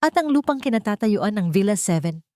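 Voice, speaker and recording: A woman's voice: medium pitch, very animated, brisk, mellow, dry recording, studio quality, pitched above the performer's own voice, natural voice. A woman voicing a wronged wife in a dramatic story, cold, threatening and resolute as she accuses. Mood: stern accusation. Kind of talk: dramatic storybook dialogue reading.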